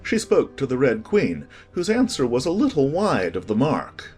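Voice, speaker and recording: Expressive, husky storybook narrator. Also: mid-range voice, normal speed, faint music behind. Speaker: audiobook narrator.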